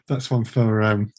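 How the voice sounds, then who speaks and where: A middle-aged man hosting a casual podcast chat in a dry, joking, mock-formal manner. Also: medium pitch. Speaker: podcast producer and host.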